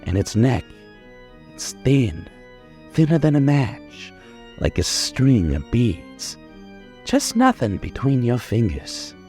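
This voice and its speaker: A man's voice: mid-range voice, very animated, darkish tone, soft background music. A man playing a boastful, menacing young killer in an old-time radio crime drama, dwelling on his words. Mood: eerie, hushed. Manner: menacing, gloating, dwelling slowly on details. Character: boastful, unhinged young male killer holding police at gunpoint.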